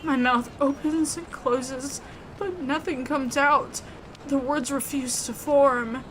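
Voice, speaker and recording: A woman narrating a horror visual novel in the first person, reading with expressive, suspenseful delivery. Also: high-pitched, takes it slowly, a little hiss or hum.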